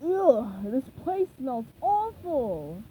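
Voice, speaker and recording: A woman's voice: sits high, very animated, drawn-out words, warm tone, slight background noise, heavily acted, rough recording. A woman voicing a sour, complaining adult male octopus in a storybook reading, with a nasal tone. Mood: grumpy.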